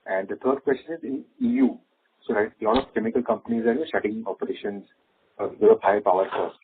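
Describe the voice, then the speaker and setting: A man's voice: on the low side, quick pace, slight background noise. A man, an analyst asking questions on an earnings call. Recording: narrow phone-like line.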